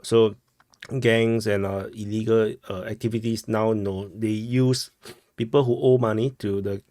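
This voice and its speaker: Low-voiced Singaporean man, halting commentary. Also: level tone, mellow, no echo, clean studio sound. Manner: halting, repeats and rephrases phrases. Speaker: host of a news commentary show. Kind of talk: informal news commentary.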